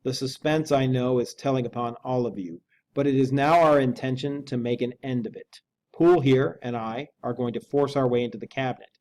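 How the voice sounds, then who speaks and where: A man voicing a grave, formal and resolute Victorian gentleman lawyer in a measured reading of a classic novel. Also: mid-range voice, steady tone, natural voice. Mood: commanding.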